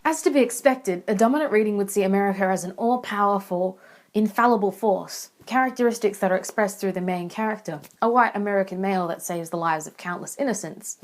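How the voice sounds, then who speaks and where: A woman's voice: mid-range voice, fairly quick, faint music behind. A young woman presenting a scripted analysis as a student narrator. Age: young adult. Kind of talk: scripted video essay narration.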